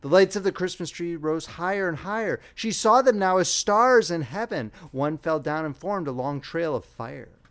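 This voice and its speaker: Casting: man for dramatic fairy tale narration, highly varied pitch, slightly high register, expressive. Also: moderate pace, few pauses. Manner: expressive, theatrical storytelling. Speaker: audiobook narrator.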